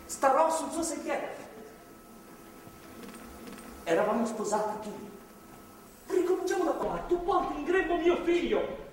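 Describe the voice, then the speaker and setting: A male stage actor playing a volatile born-again reformed drunk, pleading haltingly with his wife in a theatrical, impassioned way. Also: very high-pitched, very animated, exaggerated voice. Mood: contrite, broken. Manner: halting, imploring, swinging from hushed to shouting. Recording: echoey theatre hall, distant mic, faint noise.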